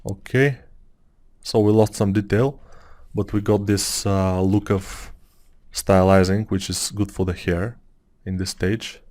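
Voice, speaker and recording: Low-voiced Bulgarian man musing while sculpting. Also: fairly even intonation, unhurried, darkish tone. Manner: rambling, musing, with many fillers. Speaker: 3D sculpting tutor. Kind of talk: casual tutorial talk over screen work.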